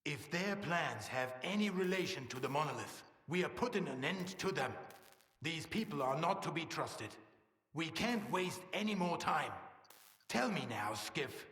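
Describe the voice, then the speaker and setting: A long echo surrounds this solemn male leader from a video game. His voice sits a little high for a man. He speaks with measured, persuasive conviction and distrust. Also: lively intonation, a bit bright. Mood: grave, insistent. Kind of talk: dramatic video game acting. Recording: echoey hall with long reverb.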